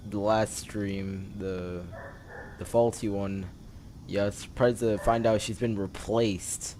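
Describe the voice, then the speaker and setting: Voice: low voice, fairly even intonation, takes it slowly, mellow, a little hiss or hum. A game streamer giving casual live commentary, rambling and hesitant, often trailing off. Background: game music.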